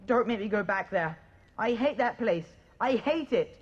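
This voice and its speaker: A woman's voice: mid-range voice, animated, a little slow, muffled recording, a little hiss or hum, close to an everyday voice. A woman playing a scared but stubborn small orphaned village boy in a video game, defiant and pleading in a childlike way. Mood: upset, stubborn. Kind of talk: video game dialogue acting.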